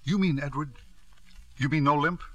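A man's voice: on the high side, normal speed, no room sound, dull audio, natural voice. An actor in a 1950s radio drama playing a devoted, driven father who speaks emphatically and repeats himself. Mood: urgent, determined. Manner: emphatic, repeats himself, falters when anxious. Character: devoted, driven father of a sick son, narrating his past. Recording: vintage radio broadcast with music.

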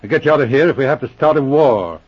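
Veteran policeman, mid-pitched, authoritative, dryly good-humored, muffled old radio recording. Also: expressive, fast, slightly rough. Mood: bracing, encouraging. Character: brisk, dry, loyal veteran police sergeant and inspector. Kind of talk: 1940s radio acting.

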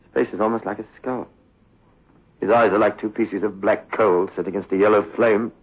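Tormented young male lead for old-time radio horror: rough-edged voice, overwrought pleading, stammer, dull old recording. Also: lowish voice, fairly even intonation, normal speed. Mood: haunted. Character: tormented, obsessive young man haunted by nightmares. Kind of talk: 1960s radio horror acting.

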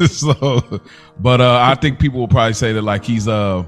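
A man in casual podcast conversation, telling stories in a straightforward, slangy and somewhat halting way. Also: mid-range voice. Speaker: podcast co-host. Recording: music playing behind the voice. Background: music.

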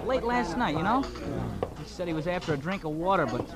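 High-pitched, worried streetwise newsboy. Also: male voice, big pitch swings, moderate pace, gravelly. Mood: anxious, earnest. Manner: hesitant, slangy, plain-spoken. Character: worried, earnest streetwise newsboy, polite to adults. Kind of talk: 1940s radio drama acting. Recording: vintage radio, crowd murmur behind.

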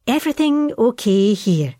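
A woman playing a proud, chatty wartime mother, reading her homely letter to her son at a measured pace. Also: on the high side, very animated, warm tone, dry recording, studio quality. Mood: newsy, fond. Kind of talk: dramatic reading of a 1940s letter.

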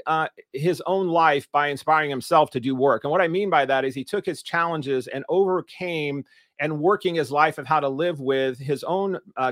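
High-voiced man hosting, dry close sound. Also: fairly bright tone, dry recording. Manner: halting, with many fillers and restarts. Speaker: podcast host. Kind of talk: interview introduction and questions. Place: recorded from home over a video call.

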